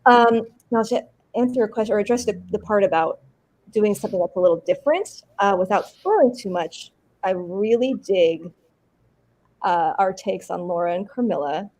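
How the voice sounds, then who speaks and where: A bubbly woman speaks in a relaxed interview. She laughs easily and talks in quick, excited bursts at a middle pitch. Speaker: actor. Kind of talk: casual interview chat.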